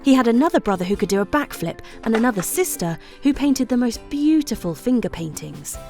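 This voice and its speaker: A woman narrating a scripted children's bedtime story with expressive, deliberate phrasing. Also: a little high, faint music behind.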